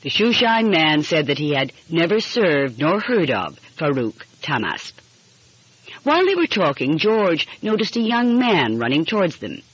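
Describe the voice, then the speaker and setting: A woman's voice: low-pitched, very animated, measured pace, breathy edge, no echo. A woman reading a mystery story aloud as scripted audiobook narration. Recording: muffled, dull lo-fi audio.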